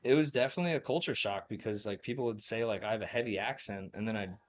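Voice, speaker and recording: A young man from New Jersey tells long, meandering stories as an interview guest. His voice sits low, and he leans on fillers and laughs between thoughts. The audio is dull and lo-fi. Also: quick pace. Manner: rambling, filler-heavy, laughs often. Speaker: guest, former college wrestler. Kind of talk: casual interview storytelling.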